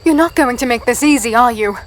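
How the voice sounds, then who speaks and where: A woman's voice: a little high, a little fast, a little hiss or hum, lightly acted. A woman playing a plucky, spirited fighter, telling her story in earnest first person in a fantasy audio drama. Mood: feisty banter. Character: plucky, spirited small female fighter and archer.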